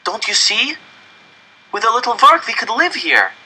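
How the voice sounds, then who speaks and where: A man voicing an eager young boy who pleads with urgent excitement in an audiobook story. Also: extremely high pitch, moderate pace, a little hiss or hum, pitched above the performer's own voice, exaggerated voice, low-quality recording. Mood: excited, pleading.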